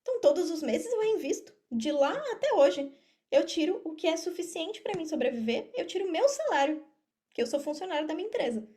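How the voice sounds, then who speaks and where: A woman's voice: very high voice, sing-song, fairly quick, warm tone. A woman with a Brazilian accent talking casually as a podcast guest, explaining things directly to the listener. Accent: Brazilian Portuguese. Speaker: podcast guest, affiliate marketer and mentor. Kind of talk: casual interview talk.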